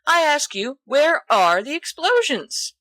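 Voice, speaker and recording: A woman's voice: high voice, sing-song, slow, pushed and bright, no echo, pitched above the performer's own voice, heavily acted. Woman as a cocky theatrical schoolboy, dramatic rambling, audiobook character, dry close sound. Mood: theatrical indignation. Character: flamboyant, boastful, theatrical teenage schoolboy.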